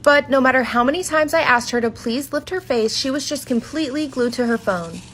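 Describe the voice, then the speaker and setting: A woman's voice: high voice, brisk, fairly bright tone, slight background noise. A woman narrating a scripted personal story in an orderly, explanatory way. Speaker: makeup artist.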